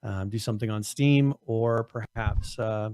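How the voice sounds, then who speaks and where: A man co-hosting a casual gaming podcast, losing his voice, his throat strained and cracking on high notes, rambling with many restarts. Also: unhurried, warm tone, smooth, no echo, clean studio sound. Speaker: podcast co-host.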